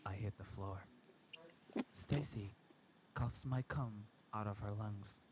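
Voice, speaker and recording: A man reading a first-person horror story aloud in a halting, dramatic way. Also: on the low side, heavy background noise. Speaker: amateur story reader.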